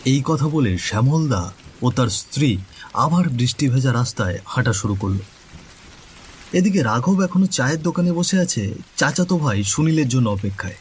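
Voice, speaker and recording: Male narrator for a cartoon story: medium pitch, lively melodic delivery, scripted. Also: moderate pace, pauses often. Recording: faint background noise.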